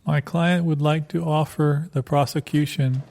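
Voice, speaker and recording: A man's voice: on the high side, fairly even intonation, unhurried, creaky, darkish tone, a little hiss or hum. A man playing an earnest, stubborn defence lawyer in an improvised comedy sketch, formal and insistent. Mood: formal insistence. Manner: formal, insistent legal phrasing. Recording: light guitar music behind.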